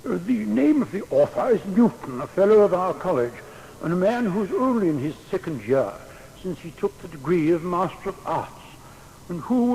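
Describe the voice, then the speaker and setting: An earnest seventeenth-century academic in a dramatised biography. The voice is high and very animated, with formal, emphatic phrasing. It rings with long reverb over faint noise. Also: male voice. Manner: formal, emphatic praise, old-fashioned diction. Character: admiring seventeenth-century male university scholar, earnest and formal. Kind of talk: dramatised historical letter reading.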